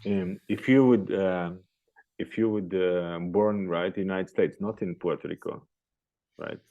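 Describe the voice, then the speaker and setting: Halting, low-voiced male interviewer, non-native English. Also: fairly even intonation, mellow, muffled recording. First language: not English. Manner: halting, repeats phrases, tags questions with "right?". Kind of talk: interview questioning.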